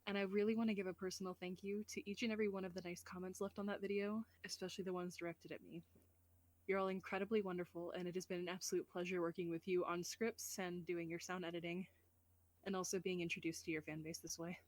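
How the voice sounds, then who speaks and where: A woman's voice: lowish voice, little pitch movement, fast. A woman talking casually as a guest voice actor in an outro chat.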